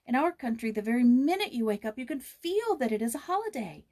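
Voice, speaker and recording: Woman as a young storybook girl, raised pitch, plaintive, eager, emphatic, expressive. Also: a little fast. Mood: plaintive grumbling.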